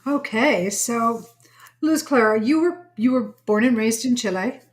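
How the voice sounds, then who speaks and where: A woman hosts an interview, sounding eager as she stumbles over her words. Her voice sits somewhat high for a woman. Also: animated, measured pace. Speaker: host.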